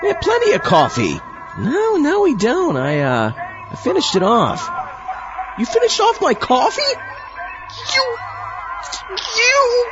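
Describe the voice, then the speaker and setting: A man's voice: very high-pitched, huge pitch swings, takes it slowly, pauses often, full character voice. A man voicing a petulant, indignant zombie astronaut who bickers with his own double in a comic radio skit. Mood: bickering, indignant. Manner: exclamatory, swooping, indignant. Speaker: show host. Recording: dull lo-fi with music behind.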